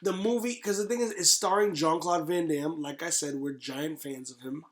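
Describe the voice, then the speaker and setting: A man's voice: a little high, normal speed. A man in his thirties chatting casually and opinionatedly as a podcast co-host, emphatic and repeating phrases for stress. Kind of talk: casual opinionated movie chat. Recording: roomy, echoey sound.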